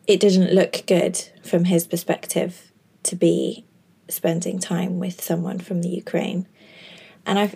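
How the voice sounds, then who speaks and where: Husky earnest woman podcaster. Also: fairly low pitch, mellow. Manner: earnest, chatty, with many fillers. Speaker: podcast host. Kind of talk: reflective monologue.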